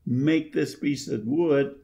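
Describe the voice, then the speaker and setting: A mature man offering his thoughts in a casual chat. He speaks slowly and haltingly, repeating words, with a creaky, gravelly edge to his voice. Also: mid-range voice, warm tone. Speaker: panel guest giving opinions.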